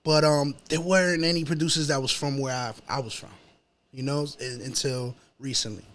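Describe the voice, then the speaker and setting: A man with a New York accent chatting casually as a podcast guest, telling personal stories in a slangy, halting, repetitive way. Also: mid-range voice, fairly even intonation, fairly bright tone. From New York City. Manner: slangy, halting, repeats himself.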